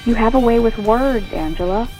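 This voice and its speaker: An actress playing a gossipy hospital nurse in an audio soap opera, confiding and tentative in manner. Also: female voice, on the high side, moderate pace, dark tone, soft background music, natural voice. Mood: confiding, wry.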